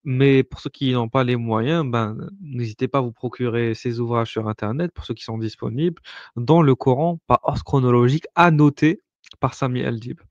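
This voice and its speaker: A man's voice: mid-range voice, moderate pace, dry recording. A man explaining religious rules in a casual discussion, hesitant, with many fillers and restarts. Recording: dull lo-fi sound, dry.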